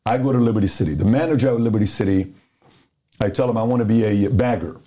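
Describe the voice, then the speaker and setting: Middle-aged man from Iran, relaxed warm voice, casual podcast guest who plays out both sides of a story. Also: lowish voice, fast. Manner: animated storyteller who acts out dialogue.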